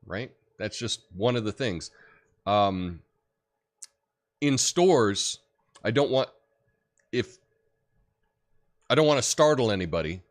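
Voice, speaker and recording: A deep-voiced man hosting a livestream, chatting casually and reading viewer comments with lots of fillers. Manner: rambling, relaxed, many fillers and restarts. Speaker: livestream host.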